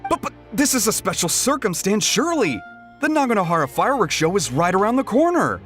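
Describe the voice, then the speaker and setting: A man's voice: high-pitched, big pitch swings, brisk, a bit bright, faint music behind. A young man playing a polite, apologetic swordsmith apprentice in a video game.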